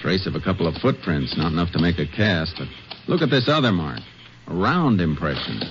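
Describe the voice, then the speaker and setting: An actor playing a steady, methodical Texas Ranger, narrating and questioning in a terse, matter-of-fact way in a 1950s radio western. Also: male voice, low voice, brisk, a little hiss or hum. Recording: muffled 1950s radio broadcast, music.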